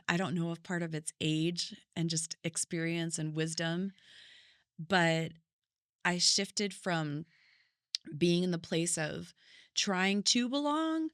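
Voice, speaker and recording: Middle-aged woman guest with a lowish voice, musing thoughtfully in long sentences, dry recording. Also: no room sound. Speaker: podcast guest, singer and vocal coach. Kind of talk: reflective conversational storytelling.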